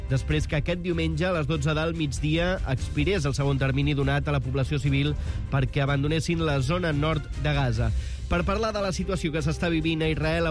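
A man presenting the news and interviewing as a radio host, in a scripted broadcast style. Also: a little high.

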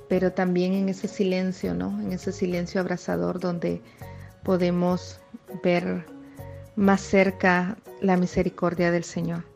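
Slow, gravelly woman from Honduras. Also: lowish voice, a little mellow. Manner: slow, reflective, hesitant. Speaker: interviewee, parish member. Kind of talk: testimony interview.

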